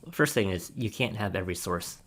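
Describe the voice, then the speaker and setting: A man's voice: low voice, fairly quick, fairly bright tone. A man talking casually as a reviewer on a podcast panel about gear, often chuckling between points. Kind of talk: casual roundtable discussion.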